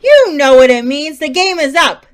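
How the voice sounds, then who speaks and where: Radio-play police inspector, matter-of-fact and in charge, played by a woman, pitched very high. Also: big pitch swings, normal speed. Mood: commanding. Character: brisk police inspector, confident. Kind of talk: community theater radio play.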